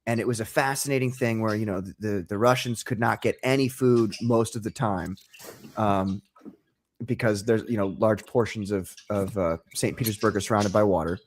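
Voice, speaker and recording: A man's voice: on the low side, steady tone, normal speed, slight background noise. A man who creates comics, a guest on an interview show, telling stories eagerly and at length, often laughing. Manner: enthusiastic storyteller, rambling, laughs easily. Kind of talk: casual interview chat.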